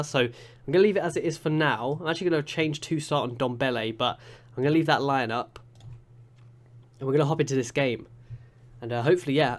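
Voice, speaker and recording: A young man with a blocked, sniffly nose from a cold talks his way through a football management game. He chats casually, then lifts into excitable play-by-play. His voice sits in a middle pitch. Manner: chatty, excitable play-by-play with many restarts. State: congested with a cold. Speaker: gaming YouTuber. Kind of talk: live game commentary.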